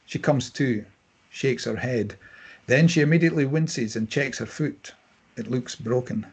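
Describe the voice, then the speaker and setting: Male narrator, raspy mid-range voice, reading screenplay action lines. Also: mid-range voice, unhurried. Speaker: stage-direction reader. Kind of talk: scripted narration at a table read.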